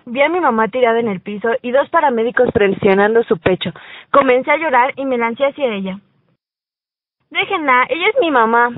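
A woman's voice: fairly high pitch, no room sound, narrow, tinny audio. A woman playing a teenage schoolgirl who narrates her own story in a radio drama. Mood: distressed. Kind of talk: first-person radio drama narration and dialogue.

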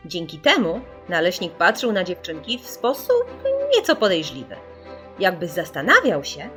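A woman reading a children's story in an expressive, theatrical storytelling manner. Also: fairly low pitch, moderate pace, soft background music. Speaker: audiobook narrator.

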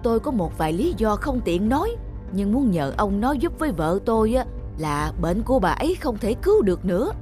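A man voicing a scheming, wealthy middle-aged merchant in an animated folk tale, smooth-talking and self-important. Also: high-pitched, fairly quick, soft background music. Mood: ingratiating, scheming.

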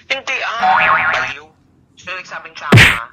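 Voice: medium pitch, very animated, normal speed, over loud music. A Filipino speaker chatting casually and animatedly with a friend over a phone call, in a bantering way. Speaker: friend receiving a prank call.

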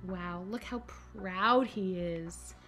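A woman in her thirties reads and chats to children in an animated, encouraging way, as a picture-book storyteller. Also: on the low side, very slow, soft background music. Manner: animated, encouraging, wide-eyed emphasis. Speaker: children's story reader, camp director. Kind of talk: expressive picture-book read-aloud and chat to children. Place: small indoor playroom.

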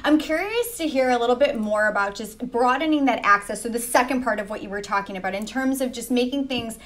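An upbeat woman in her thirties moderates a panel discussion over a video call, chatty and easygoing. Her voice sits a bit high for a woman. She talks from an office room through a webcam. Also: brisk. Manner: upbeat, chatty, lots of 'like'. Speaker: moderator, reporter.

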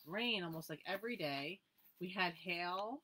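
A woman with a slightly low voice hosts a live sale of needlework supplies. She reads out item numbers and prices in a friendly, chatty way and talks with viewers by name between items. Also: lively intonation, measured pace, pushed and bright. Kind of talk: live sales presentation, casual chat.

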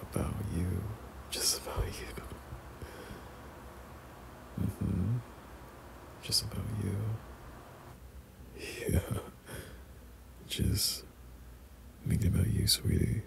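Low, very gravelly young man, ASMR boyfriend. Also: fairly even intonation, extremely slow, pauses often, poor audio. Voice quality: breathy, often dropping to a whisper. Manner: tender, hesitant, softly chuckling. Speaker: boyfriend ASMR audio performer. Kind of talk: intimate boyfriend roleplay ASMR.

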